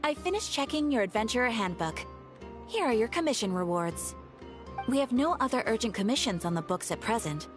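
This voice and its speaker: A woman plays a video game guild receptionist, courteous and composed. The voice is fairly high with lively melody, explaining things formally over clear music. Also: a little fast, natural voice. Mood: polite. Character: polite female adventurers' guild receptionist, helpful and composed. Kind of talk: scripted video game dialogue.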